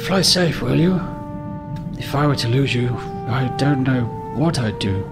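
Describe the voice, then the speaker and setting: A man voicing a gentle, doting father, a doctor who speaks haltingly and tenderly in a tabletop role-playing game. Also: mid-range voice, lively intonation, music in the background, voice pushed up. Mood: tender, wistful. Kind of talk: tabletop game role-play over music.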